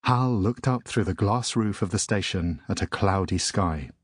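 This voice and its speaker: A man narrating a children's audiobook in a measured storytelling manner. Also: sits low, breathy edge, dry recording, studio quality.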